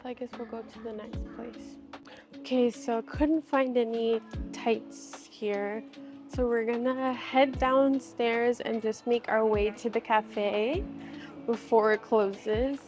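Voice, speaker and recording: Slightly high-voiced woman in her 30s vlogging in a mall, slow and giggly, kinda formal wording. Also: steady tone, over music. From Washington state, USA. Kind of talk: casual vlog chat while shopping. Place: shopping mall and stores. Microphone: handheld gimbal camera.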